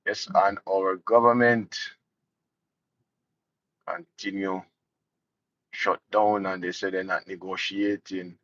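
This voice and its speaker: A man's voice: deep voice, level tone, takes it slowly, pauses often, fairly bright tone, no room sound. A Jamaican man giving casual political commentary, indignant and sarcastic. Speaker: vlogger. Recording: dull lo-fi dry sound.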